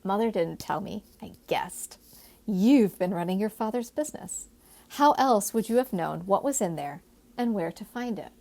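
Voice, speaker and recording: Woman reading as a confident, teasing gentleman schemer. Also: mid-range voice, lively intonation, moderate pace, creaky. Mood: teasing, persuasive. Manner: persuasive, playful, formal phrasing.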